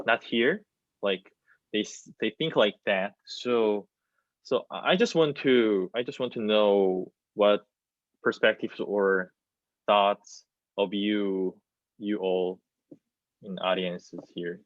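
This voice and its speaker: A young man with a Korean accent, a native Korean speaker, speaks in a panel discussion. His voice is fairly low and his delivery slow and hesitant, as he searches for words. The video-call sound is a little muffled. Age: young adult. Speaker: student playwright, panelist. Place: plain room, indoors. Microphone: video call, wireless earbud worn. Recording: roomy, dull video-call audio.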